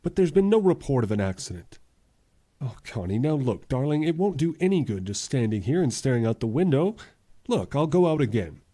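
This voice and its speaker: An actor playing a steady, placating fiance in an old-time radio drama. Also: male voice, mid-range voice, brisk. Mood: soothing. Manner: placating, reasonable. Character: steady, reasoning fiance soothing his sweetheart.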